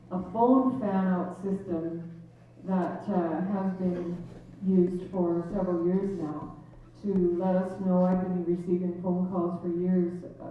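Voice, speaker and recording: A woman with a loud voice speaking up from the audience at a community meeting, assertive and matter-of-fact. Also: on the low side, a little hiss or hum. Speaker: audience member. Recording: echoey hall from far off, phone-like.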